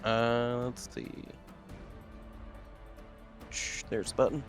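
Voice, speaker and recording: A man's voice: mid-range voice, flat delivery, slow, soft background music. A man chatting casually as a player in a tabletop roleplaying game.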